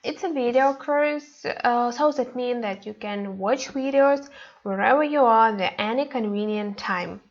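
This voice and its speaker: A young Ukrainian woman with a Ukrainian accent, a Korean language teacher introducing her online course with many pauses and fillers. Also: fairly high pitch, highs cut off. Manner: hesitant, many fillers and restarts. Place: indoors, webcam inset beside slides.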